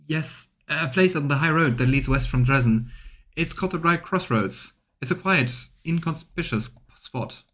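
A man's voice: on the high side, normal speed, fairly bright tone, pitched above the performer's own voice. A man voicing a panicked, defiant captured assassin in a fantasy game, stammering and pleading. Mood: nervous. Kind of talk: voiced fantasy game dialogue.

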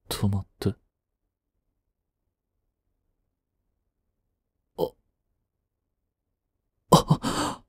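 音声ドラマで、丁寧な口調で感情の激しい、執着に狂っていく若い執事を演じる男性。. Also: male voice, lowish voice, darkish tone, slightly rough, no echo, clean studio sound, full character voice. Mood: dazed, horrified. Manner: formal, polite servant speech, intensely emotional. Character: devoted young male butler turning obsessive and unhinged. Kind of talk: Japanese romantic situation voice drama.

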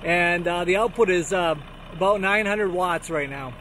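Halting, matter-of-fact man, slightly high voice. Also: a little hiss or hum. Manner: hesitant, many uhs and restarts, calm matter-of-fact. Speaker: vlogger testing gear. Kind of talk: casual hands-on demo talk. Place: outdoors by a lake, later inside a vehicle.

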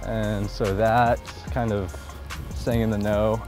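Laid-back young guy talking while walking around outside, kinda low voice, music playing behind. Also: slow pace. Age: young adult. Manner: relaxed, laid-back, lots of fillers. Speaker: college baseball player. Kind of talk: casual interview while walking. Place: outdoors on a sunny college campus.

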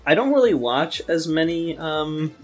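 A young man in casual podcast chat as a co-host, talking in a halting way and often rephrasing as he goes. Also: a little high, lively intonation. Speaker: podcast co-host, game designer. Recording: music behind the voice. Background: background music.

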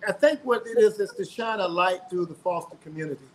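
High-voiced man on a video call. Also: fast talker, poor audio. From South Carolina, US. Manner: earnest, repeats words while finding his thoughts. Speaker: guest, singer. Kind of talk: casual interview. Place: home room indoors.

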